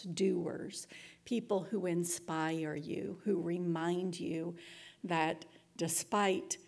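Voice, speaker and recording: This is a woman giving an inspirational community talk. Her voice sits a little low, with a husky grain and frequent creak. She is reflective and earnest, setting out each thought in a measured way. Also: darkish tone.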